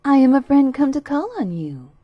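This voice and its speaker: A woman voicing a sly male fox who puts on a soft, feigned friendly voice in a storybook. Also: high voice, sing-song, moderate pace, no echo, lo-fi sound, pitched above the performer's own voice. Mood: coaxing.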